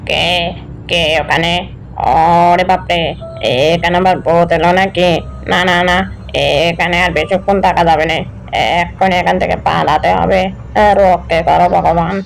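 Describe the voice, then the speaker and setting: A man's voice: high voice, little pitch movement, moderate pace, gravelly, low-quality recording. A man voicing a tipsy, muddled, easily scared fellow who rambles to himself in a horror cartoon. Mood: frightened. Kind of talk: Bengali horror cartoon voice acting. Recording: music under the voice.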